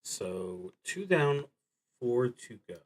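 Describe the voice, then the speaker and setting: A man streaming a solo tabletop roleplaying game, thinking aloud in a halting, deliberate way that trails off between thoughts. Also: medium pitch, very animated.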